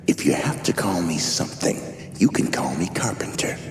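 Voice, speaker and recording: A man playing a bitter, menacing tough-guy specter in a video game, with a gravelly, breathy rasp and slow, sardonic, slangy delivery. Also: low-pitched, sing-song, in a whisper. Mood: gruff, sardonic. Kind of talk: dramatic video game voice acting. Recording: loud music behind the voice.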